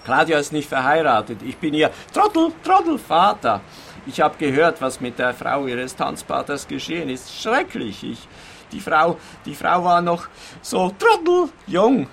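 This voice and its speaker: A man's voice: fairly high pitch, sing-song, vocal fry, a bit bright, a little hiss or hum, full character voice. Wildly swooping, flustered middle-aged father. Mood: flustered, erratic. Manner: halting, blurting insults mid-sentence. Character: flustered father with compulsive outbursts of insults. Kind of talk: comic radio play acting.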